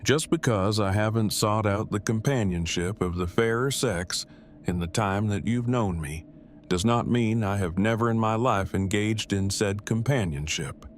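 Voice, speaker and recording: A man's voice: lowish voice, unhurried, slight background noise, natural voice. A man playing a wordy, self-deprecating, heartbroken nerd who speaks in stilted formal phrases in an audio drama. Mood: stiff, matter-of-fact.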